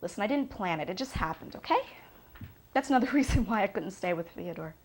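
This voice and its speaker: A woman's voice: mid-range voice, lively intonation, fast, close to an everyday voice. A woman playing a weary, defensive woman answering questions in a blunt, dismissive, conversational way. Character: defensive, weary woman under questioning about failed relationship.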